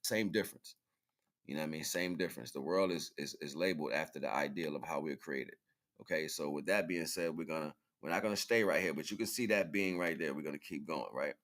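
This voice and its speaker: A man's voice: rumbling low, a little fast, a bit bright. A man giving casual livestream commentary in informal, slangy talk, repeating phrases for emphasis as he hosts. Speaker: livestream host.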